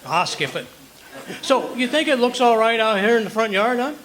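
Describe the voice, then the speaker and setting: A man performs a bumbling, good-natured husband from an old radio comedy. His pitch leaps around as he blusters and mocks himself. It is a live stage recording with faint background noise. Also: animated, normal speed, fairly bright tone, rough recording. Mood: softening, kindly. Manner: blustering, rattles off lists, flustered stammers. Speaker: amateur radio comedy actor. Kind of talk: old-time radio comedy acting before a live audience.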